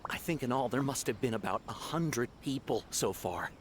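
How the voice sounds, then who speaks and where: A man's voice: mid-range voice, animated, normal speed, slight background noise, close to an everyday voice. An actor playing a townsman passing on rumors in a video game. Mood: concerned.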